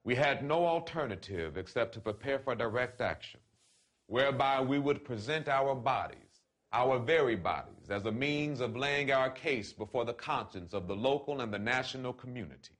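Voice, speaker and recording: A man reads a written letter aloud in a solemn, oratorical way, with deliberate phrasing and emphatic pauses. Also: mid-range voice, lively intonation, fairly bright tone. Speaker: reader of a letter.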